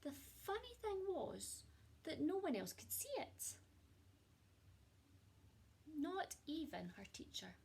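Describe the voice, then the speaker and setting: A woman reading a children's picture book aloud in an expressive storytelling way, as a teacher speaking to young listeners. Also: sits high, slow pace, gravelly, long pauses.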